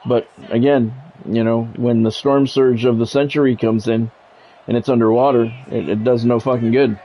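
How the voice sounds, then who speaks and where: A man's voice: medium pitch, steady tone, moderate pace, mellow, low-quality recording. A middle-aged man doing live football game commentary mixed with casual chat, talking in a blunt, profane, conversational way. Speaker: game streamer and play-by-play commentator.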